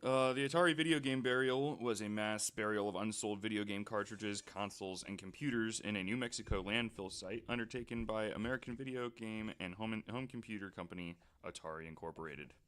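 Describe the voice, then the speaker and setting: A man guest co-hosting a podcast, telling a story haltingly with dry jokes. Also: on the low side, a bit bright, clear, resonant tone. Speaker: guest co-host. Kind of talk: casual chat, telling a story.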